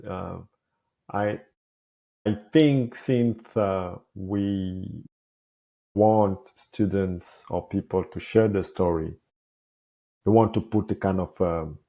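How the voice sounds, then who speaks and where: Low gravelly man, halting speech, native French. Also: dark tone, dull audio. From Cameroon. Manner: halting, many restarts and repeated words. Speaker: podcast host. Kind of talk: casual conversation. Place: Montreal.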